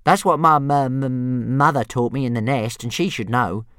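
A man's voice: fairly even intonation, moderate pace, fairly bright tone, clean tone, no room sound, studio quality, natural voice. A man voicing a tiny, boastful baby dragon who stutters on his first sounds and whines. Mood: cheerful. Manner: whiny, squeaky and cocky.

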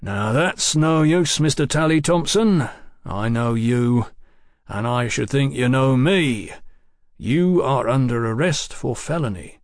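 A man as calm male police sergeant, firm, clear, wry, quietly commanding, deliberate, dry studio sound, audiobook. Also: mid-range voice, no room sound, close to an everyday voice. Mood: calm authority.

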